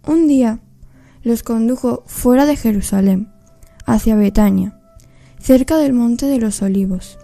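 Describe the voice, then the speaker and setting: A woman's voice: fairly high pitch, a little slow, vocal fry, warm tone, soft background music. A woman narrating a scripted radio play as storyteller, solemn and reflective.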